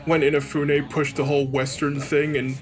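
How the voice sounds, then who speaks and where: A man's voice: on the high side, flat delivery, normal speed, faint music behind. A man co-hosting a let's play, talking casually and bluntly in a rambling conversational way. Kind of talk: casual gaming commentary chat.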